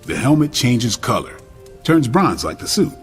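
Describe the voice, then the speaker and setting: A man's voice: medium pitch, very animated, unhurried, soft background music. A man acting as a wry, thoughtful hero who leads a group in a video game cutscene, speaking conversationally. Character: wry, thoughtful male hero leading a book club.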